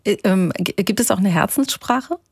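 A woman's voice: mid-range voice, expressive, moderate pace, creaky, husky, no room sound, studio quality. Woman radio host asking questions. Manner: conversational, hesitant questions. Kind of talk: radio interview. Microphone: studio microphone.

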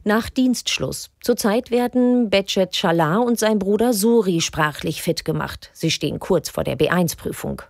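A woman reporter reading a scripted news narration in a measured, clear way. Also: medium pitch, animated, no room sound, studio quality. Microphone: studio voice-over.

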